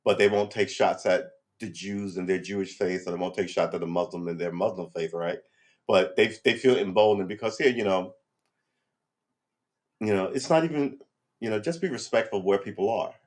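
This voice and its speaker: Low-voiced man in his fifties, musing. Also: normal speed. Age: 50s. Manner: rambling, restarts, frequent "you know" and "right?"; lively pitch swings when animated. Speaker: vlogger. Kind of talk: reflective monologue. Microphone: livestream setup.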